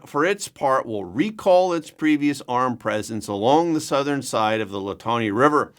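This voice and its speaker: A man reading scripted news as an anchor, with a measured, emphatic delivery. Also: mid-range voice, a bit bright.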